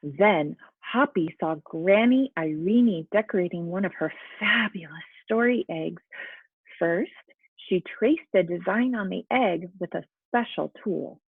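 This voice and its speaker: A woman's voice: on the low side, measured pace, breathy edge, no echo. A woman teacher speaking encouragingly to young children, as in a lesson and storybook read-aloud, with muffled sound. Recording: muffled, phone-like narrow-band sound.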